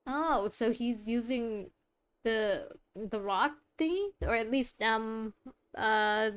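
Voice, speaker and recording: A woman's voice: on the high side, slow, a bit bright, clean tone. A woman streaming a game with casual, sarcastic commentary, talking back to the characters.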